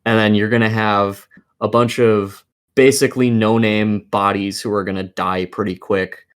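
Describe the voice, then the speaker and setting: A man's voice: lowish voice, level tone, moderate pace, smooth. A man co-hosting a casual podcast, ranting in a profane, exasperated and emphatic way. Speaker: podcast co-host. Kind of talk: casual opinionated rant about games and films.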